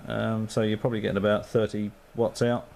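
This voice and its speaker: A man's voice: lowish voice, little pitch movement, brisk, creaky, a little mellow. A man on amateur radio, chatting casually and thinking aloud with lots of fillers. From Australia.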